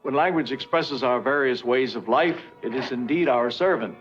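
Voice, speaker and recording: An older man playing a patient, kindly professor, lecturing deliberately and reassuringly in a 1950s educational film. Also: mid-range voice, fairly bright tone, lightly acted. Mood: earnest, lecturing. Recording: dull vintage film soundtrack, music behind.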